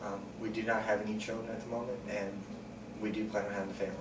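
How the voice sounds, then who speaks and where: Calm young man interviewee, low even delivery, recorded far off with noise. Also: very fast. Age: 20s. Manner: calm, plain-spoken, frequent ums. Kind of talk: sit-down interview. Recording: roomy, distant mic, noisy.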